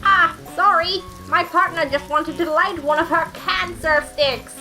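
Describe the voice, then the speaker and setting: A woman voicing a proud, theatrical male skeleton detective with a booming, declamatory delivery in a storybook reading. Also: steady tone, measured pace, over music. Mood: shouting cheerfully.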